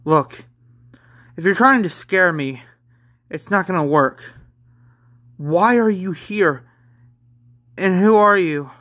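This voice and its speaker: A man's voice: high voice, lively intonation, slow pace, pauses often, fairly bright tone, voice pushed up, full character voice. Man playing a blunt young manga heroine. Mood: defiant. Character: defiant, evasive young woman in manga story.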